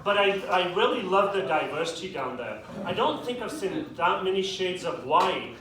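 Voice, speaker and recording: A young man with a British accent doing a stand-up comedy set in a club with a live audience, delivering dry, self-deprecating punchlines with quick asides. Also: high voice, expressive. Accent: British English. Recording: echoey club, distant mic, audience noise. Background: audience laughter.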